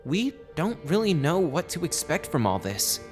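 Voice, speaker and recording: A man's voice: fairly high pitch, very animated, normal speed. A man presenting an audio drama in a conversational way, like someone sharing a personal journal. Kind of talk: first-person journal narration and show announcement. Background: faint music.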